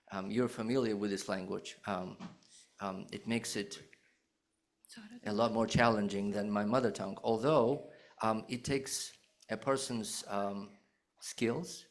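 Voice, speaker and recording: A man speaks at a lowish pitch, joining a conference remotely through a video call. He answers as the keynote speaker in a measured, hesitant way, often pausing on "um" as he thinks. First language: Russian. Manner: measured, hesitant with many "um" fillers.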